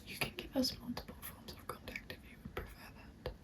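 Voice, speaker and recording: A young woman softly whispering close to the mic in a polite, gentle ASMR roleplay as a consultant. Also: fast. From England. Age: young adult. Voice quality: soft breathy whisper. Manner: polite, gentle, attentive customer-service patter. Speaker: ASMR creator playing a recruitment consultant. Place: small room at home, indoors. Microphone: close microphone. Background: computer keyboard typing.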